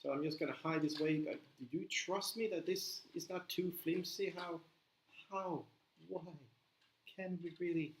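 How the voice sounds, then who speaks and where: A man's voice: a little high, steady tone, moderate pace, echoey room, slight background noise. A man from Sweden with a Swedish accent, chatting casually to viewers of his live stream while building, rambling and answering comments. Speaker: livestream host building a model kit.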